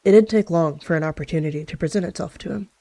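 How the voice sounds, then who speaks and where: A woman tells a scripted story as its narrator. Her voice is slightly low with a creaky edge, recorded close and dry. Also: quick pace, dark tone, no room sound.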